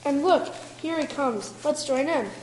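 A woman's voice: high voice, measured pace. A woman playing an eager villager sharing news in a conversational amateur church drama, recorded in an echoing hall with some noise. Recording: echoey hall with background noise.

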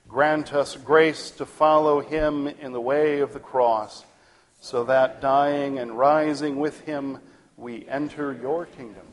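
Slow-paced man leading worship, hall reverb. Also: a little high. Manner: measured, formal. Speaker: worship leader. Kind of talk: liturgical prayer and invitation. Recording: long echoing reverb of a large hall.

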